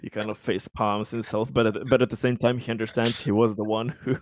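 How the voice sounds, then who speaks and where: A man playing a tabletop roleplaying game, improvising in character in halting, hesitant phrases. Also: lowish voice, level tone, no room sound.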